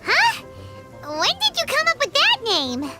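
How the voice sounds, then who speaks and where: A woman voicing a tiny cheerful, boastful fairy companion with a squeaky childlike voice for a video game. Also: huge pitch swings, measured pace, full character voice. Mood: excited, playful. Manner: refers to self in third person, perky. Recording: loud game music behind.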